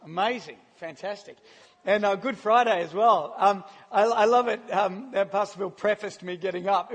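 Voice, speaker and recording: A middle-aged man with a croaky voice, speaking as a guest preacher in a chatty, self-deprecating way with frequent laughs. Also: sits high. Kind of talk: casual, joking church talk. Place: large church hall. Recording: live church hall with long reverb.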